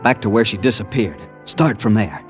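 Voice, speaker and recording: A man's voice: fairly low pitch, lively intonation, normal speed, natural voice. An actor playing a desperate, earnest young American man, narrating and pleading in 1940s radio suspense style. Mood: tense, narrating. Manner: earnest, nervy, dramatic. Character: anxious, desperate young man hunting his missing fiancee. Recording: vintage radio drama, music behind.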